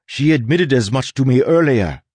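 A man's voice: medium pitch, lively intonation, moderate pace, a little mellow, husky, no echo, voice pushed up. A man voicing a stern, straightforward sailor woman in an audiobook, with urgent, persuasive delivery. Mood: insistent.

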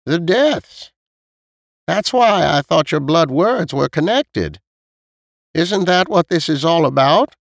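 A man's voice: on the high side, expressive, slow, vocal fry, dry recording, studio quality, voice pushed up, full character voice. A man voicing a stern, blunt elderly woman who knows more than others, in an audiobook story. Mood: grave, matter-of-fact.

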